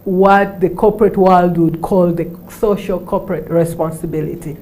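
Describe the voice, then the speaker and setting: A woman's voice: fairly low pitch, steady tone, moderate pace, dark tone, rough recording. A Ugandan woman, a fashion designer, answering interview questions with many restarts and fillers. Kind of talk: studio interview.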